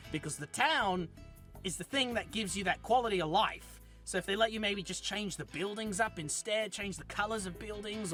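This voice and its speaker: A man talks through a game review in a loose, chatty way, circling back to fix his own words. His voice sits a little high and rings bright and forceful. Soft game music plays underneath. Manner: rambling and chatty, with frequent self-corrections. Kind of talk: casual game review commentary.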